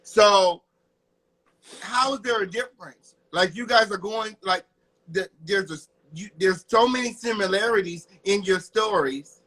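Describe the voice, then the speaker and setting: A man's voice: high voice, measured pace, sharp tone, poor audio. A man hosting a casual, heated discussion, talking in a sassy, emphatic and challenging way. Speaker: host of a discussion show.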